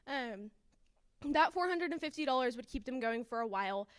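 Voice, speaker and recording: High-voiced earnest teen girl. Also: expressive, moderate pace, smooth. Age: teens. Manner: earnest, with frequent ums. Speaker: student contestant presenting a project. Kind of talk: prepared presentation, then answering judges.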